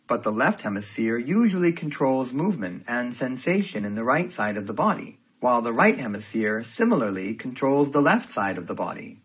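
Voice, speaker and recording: A man reading academic passages aloud in a careful, deliberate way. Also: mid-range voice, breathy edge. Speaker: reader of English test practice passages. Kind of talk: read-aloud of academic texts. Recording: dull lo-fi audio.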